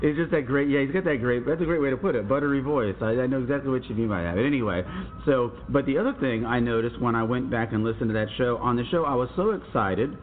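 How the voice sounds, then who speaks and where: A man's voice: mid-range voice, fast, a little hiss or hum. A middle-aged man hosting a casual podcast, talking easily with fillers and restarts. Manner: easygoing, chatty with fillers and restarts. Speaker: podcast host. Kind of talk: casual co-hosted podcast chat. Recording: tinny phone-like sound with faint music.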